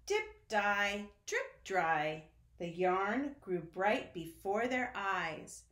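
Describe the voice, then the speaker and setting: A woman reads a children's story in a lively, swooping, sing-song way. She takes her time and speaks directly to young listeners, in an echoey room. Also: medium pitch, very slow. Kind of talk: children's picture-book read-aloud. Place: indoor room at home.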